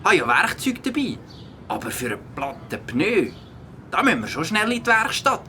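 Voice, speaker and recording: A woman playing an eager, helpful young boy with a slightly raspy voice and a Swiss German accent in a children's audio play. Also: fairly low pitch, big pitch swings, normal speed, slight background noise. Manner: bright, eager.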